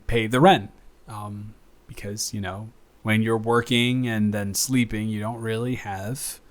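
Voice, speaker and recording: A man's voice: medium pitch, a bit bright. Man acting a hesitant, affectionate male roommate. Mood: shy, hesitant. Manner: hesitant, rambling, stammering. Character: shy, affectionate male roommate confessing to best friend. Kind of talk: improvised intimate audio roleplay.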